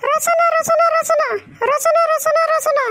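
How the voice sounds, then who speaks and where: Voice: extremely high pitch, flat delivery, unhurried, heavy background noise. A performer voicing a little schoolgirl in a comic cartoon, naive and literal-minded, with an obedient manner. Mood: naive, eager.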